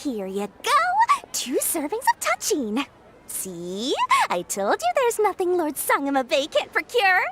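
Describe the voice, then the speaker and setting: A woman's voice: sits high, huge pitch swings, a little slow, heavy background noise, full character voice. A woman playing a small, greedy, wheedling girl merchant in a fantasy video game, with theatrical sales patter. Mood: smug, obliging. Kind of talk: animated video game voice acting. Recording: loud game music behind. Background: game music.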